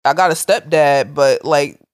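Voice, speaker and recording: A woman's voice: sits low, a little slow. Blunt woman with a big voice. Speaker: podcast co-host. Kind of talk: casual podcast chat.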